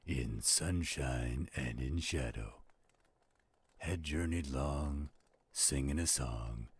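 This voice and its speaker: A man playing a gruff but gentle, fatherly werewolf in an intimate audio roleplay, with a deep, gravelly, husky voice and an unhurried delivery. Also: exaggerated voice.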